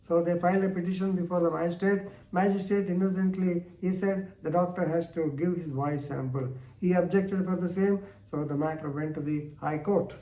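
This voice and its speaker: Indian accent guy teaching law, mellow voice, repeats stuff to drive it home, sounds far from the mic in a roomy space. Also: sits high, steady tone, a little fast. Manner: didactic, repeats phrases for emphasis. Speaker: law lecturer. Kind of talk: explanatory legal lecture. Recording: echoey room, distant microphone.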